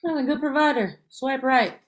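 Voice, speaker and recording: A woman's voice: high voice, normal speed, lightly acted, rough recording. A woman playing a sassy, blunt, man-hungry best friend in a comedy table read, brassy and emphatic. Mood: gleefully picky.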